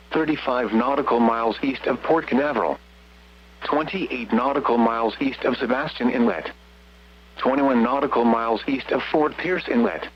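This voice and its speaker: A synthetic man's voice reads a scripted weather bulletin over the radio in formal, impersonal, clipped phrases. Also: medium pitch. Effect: radio broadcast. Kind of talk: scripted marine forecast and advisory bulletin. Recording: muffled, noisy weather radio with hum.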